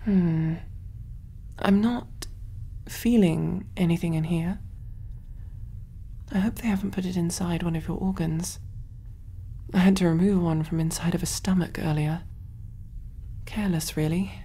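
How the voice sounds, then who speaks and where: A woman voicing a kind, clinical alien surgeon in a gentle, reassuring ASMR roleplay, soft-spoken and often whispering. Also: on the low side, steady tone, measured pace, long pauses, slightly rough. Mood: focused, curious.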